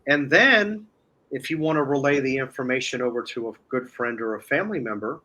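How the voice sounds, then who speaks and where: A man speaking as a guest in a casual chat, explaining step by step in a halting way. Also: mid-range voice, sharp tone. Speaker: guest, online video creator.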